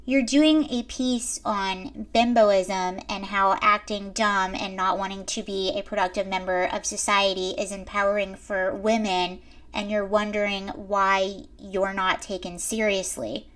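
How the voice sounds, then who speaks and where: A young woman with a high-pitched voice giving emphatic commentary straight to her viewers. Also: steady tone, measured pace, a bit bright. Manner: emphatic, lecturing, addresses viewers directly. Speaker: commentary host. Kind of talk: reaction commentary to camera.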